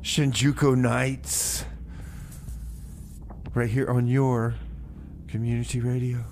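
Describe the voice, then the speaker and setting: A man's voice: medium pitch, little pitch movement, slow. A man hosting a community radio music show, rambling and stammering through his stories. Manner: rambling, stammering, repeats himself. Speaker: radio DJ host. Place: radio studio.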